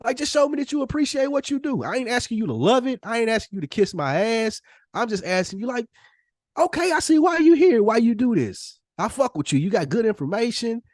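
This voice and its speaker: A man's voice: very high voice, very animated, brisk, dry recording, clean studio sound. An American man co-hosting a podcast in casual debate, emphatic and insistent, repeating his points with slang and swearing. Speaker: podcast co-host. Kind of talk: casual debate between friends.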